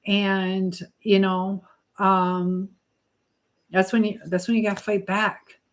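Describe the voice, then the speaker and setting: A woman hosting a live chat, speaking earnestly and haltingly with pauses as she gives advice and encouragement to viewers. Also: medium pitch, flat delivery. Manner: religious encouragement. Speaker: live stream host.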